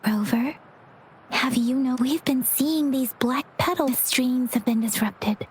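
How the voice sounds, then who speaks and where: A woman playing a calm, earnest, gently concerned young woman in an English video game dub, with measured delivery. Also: high-pitched, slight background noise.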